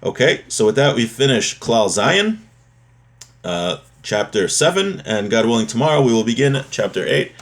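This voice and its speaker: A man's voice: medium pitch, very animated, normal speed. A man giving a religious lesson, reading from a text and explaining it, repeating and rephrasing his points as he goes. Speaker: religious teacher giving a daily class.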